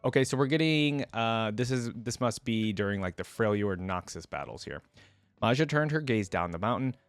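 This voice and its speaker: A man's voice: lowish voice, lively intonation, moderate pace, dry recording. A man talking casually as a video creator, moving between chatty reaction commentary and reading a story aloud.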